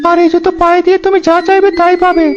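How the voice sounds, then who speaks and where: A man voicing a magical, benevolent deer in an animated fairy tale, speaking solemnly and formally. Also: very high-pitched, racing. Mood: solemn, warning. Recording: dull lo-fi sound. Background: loud background music.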